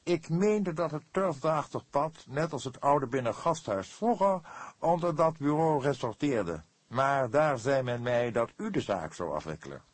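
A man voicing an affected, formal, mannered gentleman of about forty in an audiobook. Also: mid-range voice, lively intonation, measured pace, husky, no echo, voice pushed up.

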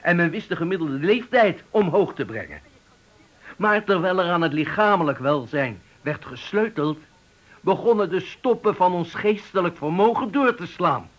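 Husky, impassioned old factory worker. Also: male voice, sits high, animated, poor audio. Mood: indignant, impassioned. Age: 60s. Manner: oratorical, dramatic, deliberate phrasing. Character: embittered eloquent 65-year-old male factory worker at his retirement. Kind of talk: radio play monologue, rhetorical farewell speech.

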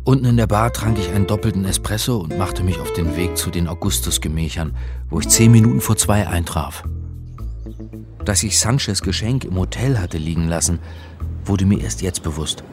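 Male actor doing reflective first person narrator, radio play, low voice but expressive, bit of music. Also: close to an everyday voice. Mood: matter-of-fact. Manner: measured, literary storytelling. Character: reflective man recounting his own Roman adventure.

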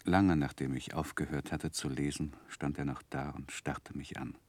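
A low-pitched, closely recorded gentleman narrator in a radio drama. He is sensitive and measured, with deep feeling weighing on his dramatic delivery of painful memories. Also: fairly even intonation, dry recording. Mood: sorrowful, haunted. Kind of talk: 1960s German radio play narration.

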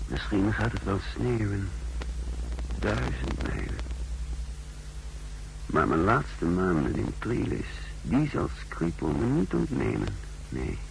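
An actor playing a cool, calculating secret agent who narrates his mission in a measured, introspective way in a 1960s radio drama. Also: low-pitched, very animated, creaky, heavily acted. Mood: brooding. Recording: hissy 1960s radio recording.

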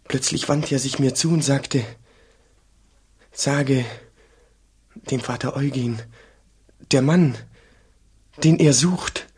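A humble monk in a radio play, his mid-pitched voice very raspy and breathy. He is earnest and deferential, hesitant yet intense. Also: slow, pauses often, darkish tone. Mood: urgent, awed. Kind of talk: German radio play acting.

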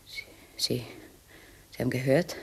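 An actress playing a tense, defiant housewife in a German radio crime drama, giving sharp commands that break into breathy hesitation. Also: female voice, very deep voice, unhurried, heavily acted. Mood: shaken. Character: tense, defiant housewife holding an intruder at gunpoint.

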